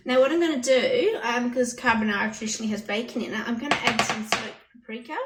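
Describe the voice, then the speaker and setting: A hoarse woman chatting through a cooking demonstration in her home kitchen, explaining each step plainly. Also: a little high, fast, heavy background noise. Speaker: home cooking channel host. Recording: roomy kitchen audio from a distance.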